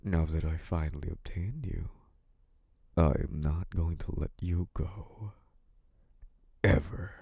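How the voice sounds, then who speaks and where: An actor playing a possessive, scheming young mafia boss in a theatrical, condescending, menacing monologue. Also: male voice, deep voice, gravelly, husky, no room sound, studio quality, full character voice. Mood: quietly possessive. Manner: menacing, condescending, theatrically drawn-out delivery with dark chuckles. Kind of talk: theatrical scripted audio roleplay monologue.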